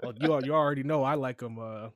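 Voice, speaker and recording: A man from Chicago hosting a casual podcast chat, animated and swearing, his voice leaping high when riled. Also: fast talker, no echo. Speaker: podcast host.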